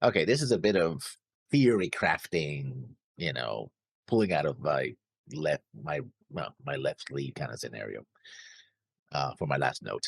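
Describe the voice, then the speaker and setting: A man co-hosting a reaction show, deliberately laying out his theories from prepared notes. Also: a bass voice, very animated, creaky, breathy edge, no room sound. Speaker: co-host of an anime reaction show.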